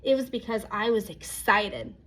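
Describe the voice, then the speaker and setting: A woman reading a children's picture book aloud as a storyteller, expressive, with dramatic pauses and acted-out exclamations. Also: medium pitch, low-quality recording. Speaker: read-aloud storyteller, teacher.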